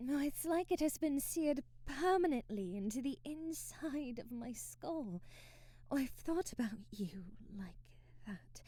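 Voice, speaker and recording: A woman playing a shy, devoted young housemaid in an ASMR romance roleplay, speaking softly and breathily with hesitant, genteel, old-fashioned phrasing and frequent whispers. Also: on the high side, no echo. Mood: flustered, guilty. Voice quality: soft, breathy, often dropping to a whisper. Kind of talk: ASMR romantic audio roleplay, period drama. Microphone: close mic, dry.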